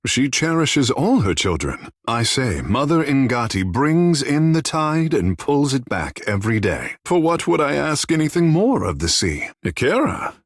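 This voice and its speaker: A man's voice: medium pitch, big pitch swings, measured pace, few pauses, dry recording, studio quality. An actor playing a proud, playful young godlike fish-man artist in a video game, speaking in lyrical, theatrical, flowery phrases.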